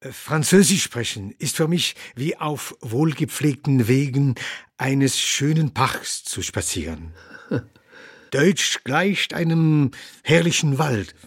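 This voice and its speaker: Husky, outspoken old doctor. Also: male voice, medium pitch, animated, dry recording, studio quality, heavily acted. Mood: wry, reflective. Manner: deliberate, opinionated, dryly humorous. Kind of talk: radio drama in the form of a talk show interview.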